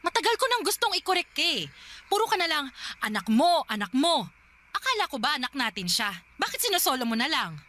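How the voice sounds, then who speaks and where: A woman's voice: high voice, very animated, brisk, bright, forceful tone, full character voice. An actress playing a woman who presses her partner for commitment in a radio drama, pleading and confrontational. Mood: resentful.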